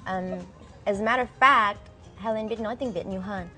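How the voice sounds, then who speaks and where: Young adult woman, mid pitch, chatty and friendly; faint music under. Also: quick pace, bright, forceful tone. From Southern California, USA. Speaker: guest singer. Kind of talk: casual interview answers.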